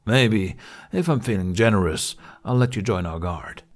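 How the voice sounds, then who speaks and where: A self-righteous Viking villain voiced by a male narrator from a storybook adventure. He speaks in a lowish voice with pompous scorn and open threat, sure of his own righteousness. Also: animated, moderate pace. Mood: menacing, smug. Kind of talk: audiobook character acting.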